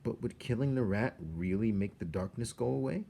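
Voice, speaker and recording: A man reading a children's novel aloud in an expressive, measured way, with dramatic pauses. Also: on the low side, vocal fry, slight background noise. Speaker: storybook reader.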